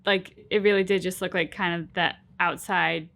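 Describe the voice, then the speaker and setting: A woman's voice: lowish voice, steady tone, normal speed, vocal fry, fairly bright tone. A woman co-hosting a game reaction video, giving her thoughts in casual, conversational commentary.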